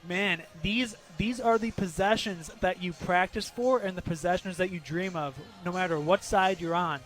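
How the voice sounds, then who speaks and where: A man's voice: high voice, moderate pace, fairly bright tone, faint music behind. A man working as a color commentator on live radio, talking through game strategy in a conversational way. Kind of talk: live radio sports analysis.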